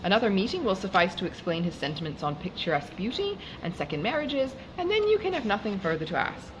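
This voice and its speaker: A woman reading the part of a composed, sensible young gentlewoman with measured, dry teasing in an audiobook. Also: mid-range voice, very animated, background noise, natural voice. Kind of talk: audiobook character dialogue.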